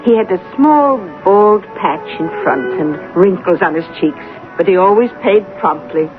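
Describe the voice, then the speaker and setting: A woman's voice: mid-range voice, animated, unhurried, close to an everyday voice. A woman playing a nosy, motherly Russian landlady, brusque but kind, in a 1970s radio drama. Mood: wistful. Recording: tinny 1970s radio recording, faint music.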